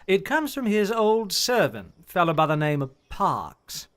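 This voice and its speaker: Radio drama: thoughtful male storyteller, formal, measured, highish pitch. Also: very animated, a bit bright, exaggerated voice. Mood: earnest, intrigued. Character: earnest, thoughtful gentleman recounting an occult mystery.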